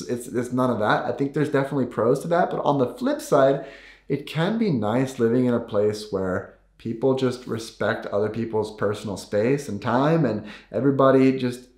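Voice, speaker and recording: Male vlogger, American, mid-pitched, casual address to viewers, roomy echoing sound. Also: darkish tone. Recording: roomy echo.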